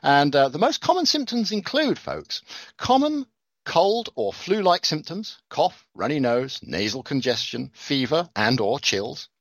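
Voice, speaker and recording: A man's voice: fairly high pitch, a bit bright, dry recording. Sardonic man rambling through headlines. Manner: sarcastic, rambling, stumbles over words and restarts. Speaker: podcast host reading news. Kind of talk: casual commentary on news articles.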